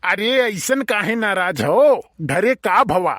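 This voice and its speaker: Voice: medium pitch, lively intonation, takes it slowly, fairly bright tone, dry recording, clean studio sound. A performer voicing a nosy, gullible village bachelor in a comedy cartoon, drawling inquisitively in an Awadhi accent. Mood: curious.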